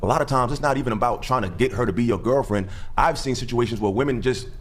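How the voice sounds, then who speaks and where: A man in his late thirties in a panel discussion, telling stories fast and acting out quotes. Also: medium pitch. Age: 30s. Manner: fast, animated storytelling with acted-out quotes.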